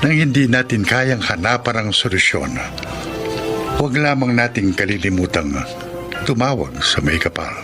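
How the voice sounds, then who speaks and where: A man announcing and hosting a radio drama in a solemn, deliberate, dramatic scripted delivery. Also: on the low side, lively intonation. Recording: music under the voice.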